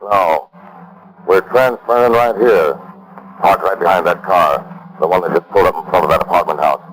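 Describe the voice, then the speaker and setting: A man's voice: sits low, moderate pace, fairly bright tone, low-quality recording. An actor playing a tough, cocky stick-up man in an old-time radio crime drama, talking in curt, bossy slang. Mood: scheming. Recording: vintage radio broadcast recording.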